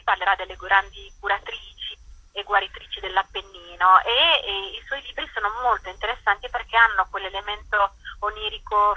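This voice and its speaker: A woman's voice: medium pitch, pushed and bright, breathy edge, no room sound, lo-fi sound. A woman explaining things thoughtfully and emphatically in an interview, as a festival director.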